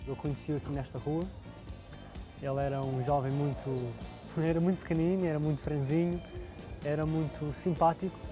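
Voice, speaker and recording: A man's voice: a little high, steady tone, moderate pace, music in the background. A man with a European Portuguese accent fondly remembering a childhood friend in an interview. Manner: fond, reminiscing. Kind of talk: interview recollection.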